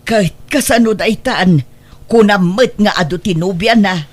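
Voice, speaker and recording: A woman's voice: medium pitch, moderate pace, lightly acted. A woman playing a blunt, scolding middle-aged mother with an Ilocano accent in an audio drama. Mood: exasperated. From Philippines. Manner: exclamatory, chiding. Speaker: voice actor in comedy drama.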